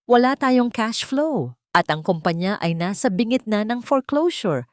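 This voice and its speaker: A woman's voice: medium pitch, lively intonation, moderate pace, no echo, clean studio sound, pitched above the performer's own voice, lightly acted. A woman voicing a frustrated, desperate businessman who mixes English into exasperated pleas in an audio drama story. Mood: desperate, urgent. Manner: exasperated, code-switching between English and Tagalog. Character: frustrated, desperate businessman son, bellowing at his lover.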